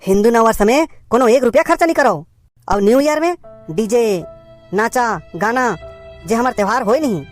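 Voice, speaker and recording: Lady doing a worried cartoon village uncle, chhattisgarhi accent, kinda high voice, lecturing youngsters, bit hesitant. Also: animated, moderate pace, pauses often, faint music behind, lightly acted. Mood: admonishing, earnest. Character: concerned village uncle gently scolding rowdy youngsters. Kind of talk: cartoon comedy dialogue.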